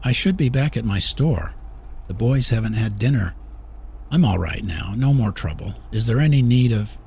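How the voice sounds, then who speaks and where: A man's voice: lowish voice, lively intonation, normal speed, creaky, breathy edge, voice pushed up, exaggerated voice. A man reading a short story as a panicked, desperate small-town store owner, pleading and rattled. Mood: nervous, placating. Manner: frantic shouting, pleading, later shaky and weary. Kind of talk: audiobook character acting.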